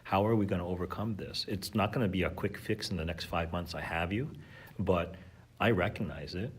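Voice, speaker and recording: A man's voice: low voice, fairly quick, slightly rough. A man, a teacher, talking earnestly and thoughtfully as a podcast guest. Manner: earnest, thoughtful, with fillers and restarts. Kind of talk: reflective conversational talk.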